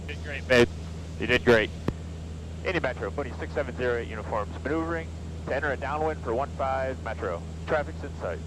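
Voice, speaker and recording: A man's voice: medium pitch, animated, fast, noisy background. An American man flying a small plane, chatting casually and making clipped radio calls over a headset. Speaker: pilot. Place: small airplane cockpit in flight. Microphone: aviation headset. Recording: loud engine drone over headset audio.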